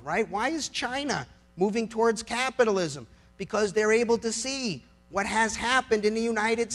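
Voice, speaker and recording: A man's voice: high-pitched, a bit bright. An American man giving a persuasive public speech, speaking emphatically in plain colloquial language and repeating phrases for effect.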